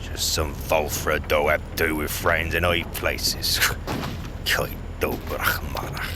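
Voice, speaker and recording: An actor playing a cynical, grizzled older smuggler in an audio drama, sardonic and fatalistic, with a gravelly voice. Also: male voice, extremely low pitch, level tone, slow. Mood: scornful, bleak. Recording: loud ambient noise behind.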